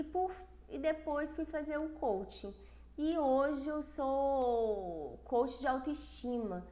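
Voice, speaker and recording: A woman's voice: on the high side, a little mellow. Congested Brazilian woman coach, echoey room. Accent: Brazilian Portuguese. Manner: earnest, personal, with frequent restarts. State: congested from a cold. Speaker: self-esteem coach. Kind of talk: casual livestream talk.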